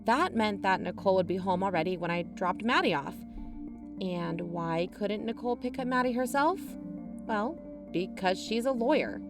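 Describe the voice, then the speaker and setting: A woman playing an investigating aunt who narrates in a measured, explanatory way. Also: mid-range voice, over music, close to an everyday voice. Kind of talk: scripted audio drama narration.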